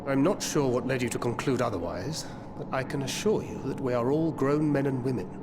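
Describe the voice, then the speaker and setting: A man's voice: mid-range voice, brisk, background noise, close to an everyday voice. An actor playing a polite, articulate young man in a fantasy video game, speaking formally and courteously. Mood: courteous, assured. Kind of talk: scripted video game dialogue.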